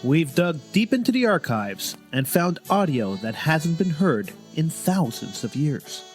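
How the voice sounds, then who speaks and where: A male radio co-host presents in a scripted, theatrical style. His fairly high voice moves in lively swings, with music clearly audible behind him. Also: a little slow. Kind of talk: scripted dramatic radio introduction. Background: prominent music.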